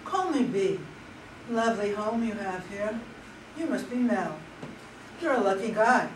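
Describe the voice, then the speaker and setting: A woman playing a confident, smooth-talking young attorney general in an amateur play reading, poised and self-assured. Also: medium pitch, lively intonation, unhurried, natural voice. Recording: distant, echoey room, faint noise.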